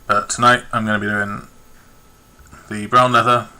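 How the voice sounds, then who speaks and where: A man's voice: on the low side, little pitch movement, normal speed, sharp tone. A man live-streaming his miniature painting at a home desk, muttering to himself and chatting to viewers. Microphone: webcam perched on a lamp.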